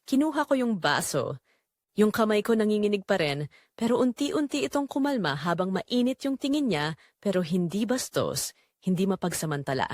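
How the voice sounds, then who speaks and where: Expressive woman narrating, close dry sound. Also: medium pitch, normal speed, no room sound. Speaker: audio drama narrator. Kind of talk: scripted first-person romance narration.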